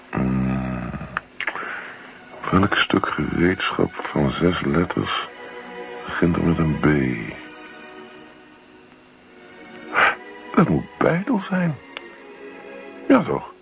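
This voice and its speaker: A man acting a contented husband in a radio play, musing slowly as if talking to himself. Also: rumbling low, very animated, creaky, soft background music, heavily acted. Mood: self-satisfied. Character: contented husband, Henk, idly puzzling and muttering to himself. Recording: dull, lo-fi old radio recording.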